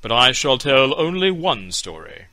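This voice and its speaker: A man's voice: mid-range voice, very animated, measured pace, pushed and bright, no echo. A man doing a cosy little fat storyteller. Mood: coaxing.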